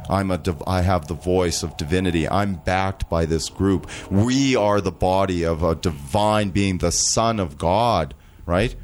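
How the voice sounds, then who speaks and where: Slow, deep-voiced man speaking deliberately. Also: slight background noise. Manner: slow, deliberate, with frequent restarts. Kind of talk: earnest conversational monologue.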